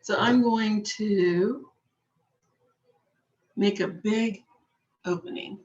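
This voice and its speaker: Woman, craft instructor, unhurried, slightly hoarse, dull lo-fi camera audio. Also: mid-range voice, a little mellow. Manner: patient, chatty, talks while working with her hands. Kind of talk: slow step-by-step craft demonstration.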